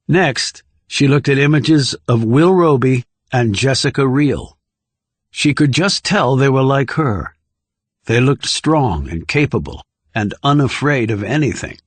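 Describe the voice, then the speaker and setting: A man reading scripted thriller narration in a measured, composed way. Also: medium pitch, slightly rough, dry recording. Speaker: audiobook narrator.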